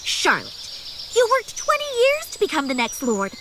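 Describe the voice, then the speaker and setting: A woman's voice: very high-pitched, measured pace, background noise, exaggerated voice. A woman playing a headstrong young noble girl, stubborn and devoted, in an anime-style game. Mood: earnest, pleading. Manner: animated, stubborn, emphatic.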